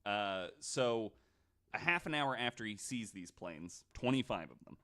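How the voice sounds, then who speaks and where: A man's voice: on the low side, expressive, measured pace, a bit bright. A man telling a true story on a podcast in a casual, informal way, like a host talking among friends. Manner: informal, hedging, frequent "like".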